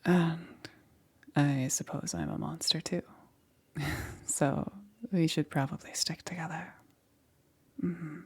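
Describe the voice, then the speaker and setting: A woman voicing a caring, gently persuasive witch in a hushed, breathy ASMR roleplay. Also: sits low, fairly even intonation, takes it slowly, long pauses, full character voice. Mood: coaxing, knowing. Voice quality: breathy, whispery. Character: soft, coaxing witch, a caring self-confessed monster.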